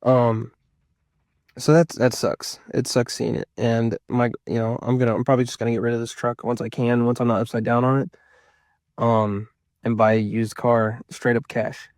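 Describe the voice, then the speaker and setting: A man's voice: mid-range voice, level tone, normal speed, darkish tone, no room sound, clean studio sound. A man of about thirty chatting casually to his viewers as a vlogger, rambling and repeating himself for emphasis. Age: around 30. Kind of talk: casual personal chat to viewers.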